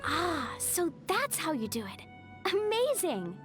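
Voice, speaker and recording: Earnest young apprentice, video game character, high voice, animated swings, excitable, expressive. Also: female voice, slow pace, over music, full character voice. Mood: amazed.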